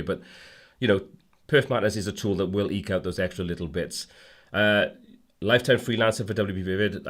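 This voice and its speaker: Host type: hoarse man with a cold, lowish voice, casual rambling livestream chat. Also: fairly bright tone. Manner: rambling, friendly, many fillers and restarts. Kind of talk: casual livestream chat reading viewer comments.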